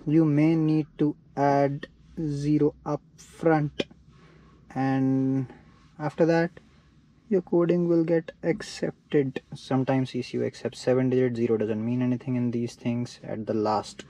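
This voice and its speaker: A man's voice: medium pitch, steady tone, unhurried, mellow, rough recording. A man explaining a car repair step by step while he works inside a parked car. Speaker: DIY car mechanic. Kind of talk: hands-on repair tutorial.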